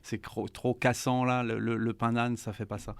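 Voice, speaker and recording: A man's voice: medium pitch, flat delivery, moderate pace, gravelly, no room sound. A man with a French accent from France, a café co-owner speaking as a radio guest, often stammering and repeating words. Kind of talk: radio interview chat.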